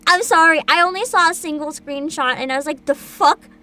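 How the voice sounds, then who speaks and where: Very high-pitched, bright woman livestreamer. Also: fairly quick. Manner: animated, exclamatory, giggly, swears freely. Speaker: livestream host, VTuber. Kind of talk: casual livestream reaction commentary, chatting with viewers.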